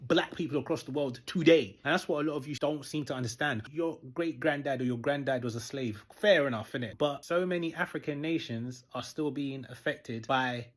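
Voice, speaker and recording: A young man, lecturing forcefully in a reaction video. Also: mid-range voice, fairly quick, hardly any pauses. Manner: forceful, lecturing, quoting others mockingly. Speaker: commentator. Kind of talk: direct-to-camera reaction video.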